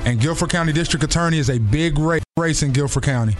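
A male radio host with a slightly high-pitched voice talks in an informal, emphatic way. He repeats words for weight in a studio interview, with music underneath. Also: fast talker.

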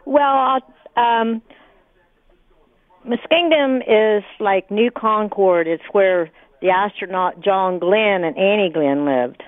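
Woman, phone-in caller, narrow tinny line, casual chat, medium pitch. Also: takes it slowly, no room sound.

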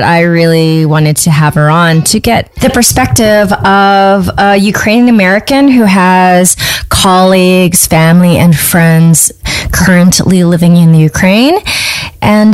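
A woman hosting a podcast from Los Angeles, chatting casually with a relaxed manner and many fillers. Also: medium pitch, dry recording. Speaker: podcast host.

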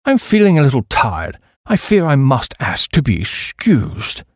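A man's voice: mid-range voice, huge pitch swings, unhurried, husky, no room sound, studio quality, exaggerated voice. A man voicing a haughty, easily affronted old English duke in an audiobook, stiff and formal. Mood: coldly polite. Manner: stiff, formal, coldly polite.

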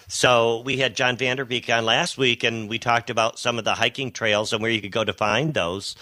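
A man's voice: medium pitch, steady tone, moderate pace, bright, forceful tone. A man hosting a local radio talk show, chatting casually and hesitating often with "uh". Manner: easygoing, hesitant with many "uh"s.

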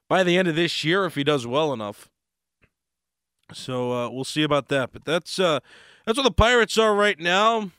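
An American man hosting a sports talk radio show in a casual, unhurried monologue, pausing mid-thought, his voice slightly hoarse. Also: on the high side, a bit bright, dry recording, clean studio sound.

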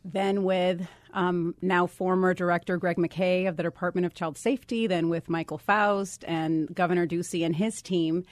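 A woman's voice: on the low side, steady tone, moderate pace, hardly any pauses, no echo. A woman in her forties from Puerto Rico, answering conversationally as an interview guest. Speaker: interview guest, government office director.

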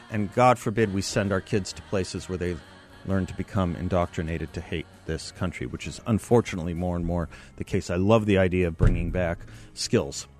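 A middle-aged man with an American accent hosting a conversational talk radio interview in a studio. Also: low voice. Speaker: radio talk show host. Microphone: close studio microphone.

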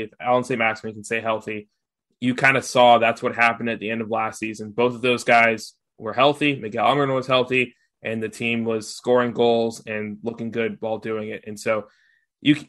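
A man's voice: mid-range voice, a bit bright. A young man, a guest on a sports podcast, chatting casually with many fillers and restarts. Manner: filler-heavy, lots of "like".

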